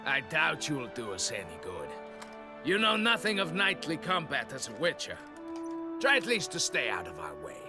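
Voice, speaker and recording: Haughty, high-voiced knight captain. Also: male voice, huge pitch swings, normal speed, music in the background. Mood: disdainful. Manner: pompous, formal, declamatory.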